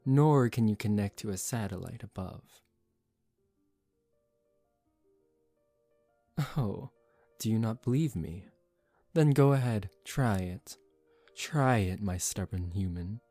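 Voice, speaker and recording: A man voicing a suave, condescending young male vampire in a soft-spoken ASMR roleplay, calm and teasing. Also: lowish voice, no room sound. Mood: smug, persuasive. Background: relaxing background music.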